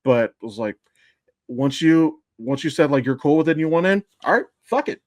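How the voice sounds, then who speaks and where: A man's voice: a little high, lively intonation, brisk, no room sound. A man hosting a podcast in casual, informal conversation, swearing freely. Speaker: podcast host. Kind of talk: casual chat.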